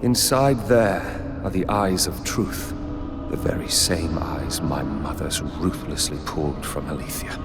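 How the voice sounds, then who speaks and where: A man voicing a grave, earnest demigod oath keeper in a video game, speaking in a solemn, measured way. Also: very deep voice. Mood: sorrowful, solemn. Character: grave, sorrowful male oath keeper, rebellious demigod son. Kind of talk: cinematic video game acting. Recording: loud orchestral music behind. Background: loud game score.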